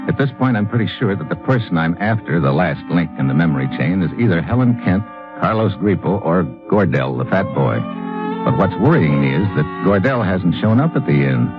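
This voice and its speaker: An actor with an American accent playing a wry, wary undercover agent in an old-time radio detective drama, dry and sardonic. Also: male voice, deep voice, big pitch swings, a little fast. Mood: wry, watchful. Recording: dull old radio recording with music.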